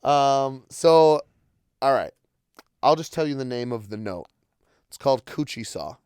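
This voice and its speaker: Crude joking man, smooth dry voice. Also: mid-range voice, expressive, normal speed, darkish tone, no room sound. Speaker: podcast co-host. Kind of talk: casual chat.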